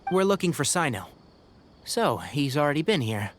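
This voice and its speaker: A man's voice: a little high, very animated, normal speed, a little hiss or hum. An actor playing a young male ranger who is polite and level-headed and takes charge. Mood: serious.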